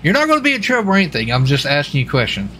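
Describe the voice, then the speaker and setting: A man playing a police marshal in an online roleplay game, asking casual questions in a halting, rambling, informal way. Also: fairly high pitch, wildly swooping pitch, crowd noise.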